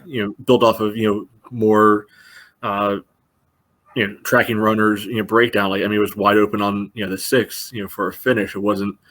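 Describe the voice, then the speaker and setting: Low, flat-toned man talking sports. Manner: halting, peppered with "you know". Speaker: podcast co-host. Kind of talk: casual sports analysis discussion.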